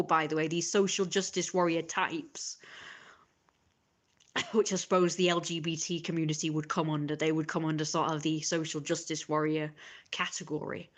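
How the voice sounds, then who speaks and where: A woman streamer ranting in casual live chat. She talks fast and exasperated, with mocking asides that quote other people. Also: fairly low pitch, fairly even intonation. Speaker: livestreamer.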